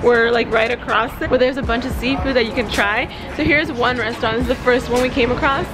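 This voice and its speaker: A woman's voice: a little high, fairly even intonation, fast, rough recording. A young woman in her twenties, chatting casually as a travel vlogger while she walks around with a handheld camera. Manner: chatty, upbeat, filler-heavy with frequent "like". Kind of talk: casual travel vlog narration. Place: outdoors on busy city streets and in markets and shops. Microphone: handheld camera at arm's length. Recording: music and crowd noise behind.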